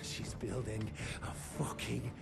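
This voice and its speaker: An actor playing a shifty, cowardly, foul-mouthed vampire informant in a video game, with a jittery, stammering delivery. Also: male voice, lowish voice, little pitch movement, slow, creaky, exaggerated voice. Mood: bitter. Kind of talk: video game cinematic dialogue.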